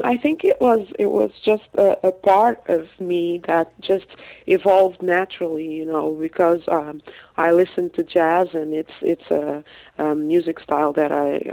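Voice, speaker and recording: A woman's voice: low voice, dark tone, no room sound. A woman raised in Portugal, a singer, speaking hesitantly with many fillers in a phone interview. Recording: tinny phone line.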